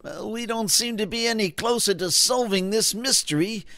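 Man as a high-voiced puzzled detective's sidekick. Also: moderate pace, pushed and bright, no echo, studio quality. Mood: puzzled concern. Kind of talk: comedy audio drama acting.

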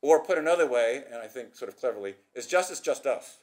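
A middle-aged man giving an academic conference talk at a podium, brisk and gesturing, posing rhetorical questions. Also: medium pitch, expressive, darkish tone. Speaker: conference speaker. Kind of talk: academic presentation. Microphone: podium microphone.

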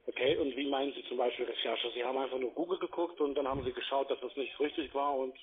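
Husky phone salesman, muffled line. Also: medium pitch, steady tone, fast talker. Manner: wordy, repetitive, insistent. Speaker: telephone sales agent. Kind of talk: cold-call sales pitch. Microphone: telephone.

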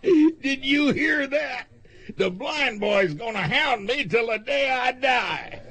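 An actor playing a mocking, drawling villain in a radio western, bullying and jeering. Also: male voice, extremely high pitch, lively intonation, slow, fairly bright tone, slightly rough, exaggerated voice. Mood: gleeful mockery.

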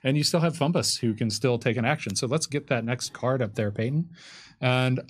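A man's voice: mid-range voice, brisk. A man acting as game master, casually narrating a tabletop game in a conversational, descriptive way. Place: indoors at a gaming table.

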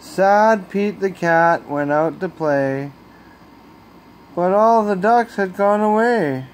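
A man reading a children's bedtime story aloud as a storyteller, with expressive, deliberate phrasing, recorded on a handheld phone at home. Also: sits high, a little hiss or hum. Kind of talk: bedtime picture-book read-aloud. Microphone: handheld phone camera.

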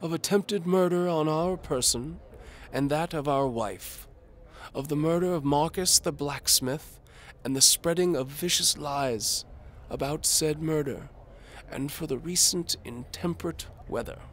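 A man voicing a pompous old medieval duke who declaims slowly in an audio drama. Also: on the high side, slight background noise, voice pushed up, close to an everyday voice. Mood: pompous, accusing.

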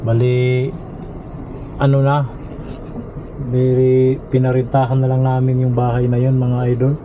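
A middle-aged Filipino man talks to his viewers from the driver's seat of a car, relaxed and chatty. His delivery is flat, with little rise and fall. The action camera sound is muffled, and loud road noise runs underneath. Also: medium pitch, unhurried. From Philippines. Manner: relaxed, addresses viewers as idols, code-switches into English. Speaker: vlogger. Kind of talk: casual travel vlog narration. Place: inside a car on a road trip. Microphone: action camera mounted in the car.

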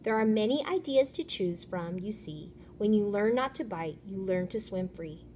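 A woman teacher reading a children's picture book aloud in an expressive, instructive way. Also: mid-range voice, normal speed, a little hiss or hum.

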